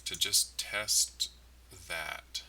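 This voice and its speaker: A man giving casual commentary as he codes on a livestream, thinking aloud in halting phrases. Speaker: game developer, livestream host. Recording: clearly noisy, distant mic, keyboard clicking. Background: typing, mouse clicks, steady noise.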